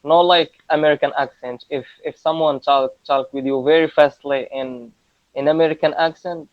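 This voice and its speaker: A man in his twenties with a Moroccan accent, practising English conversation casually in halting learner phrases, often repeating words, over a lo-fi internet call. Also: a little high, steady tone, no room sound. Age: 20s. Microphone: video call. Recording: dull lo-fi internet call audio.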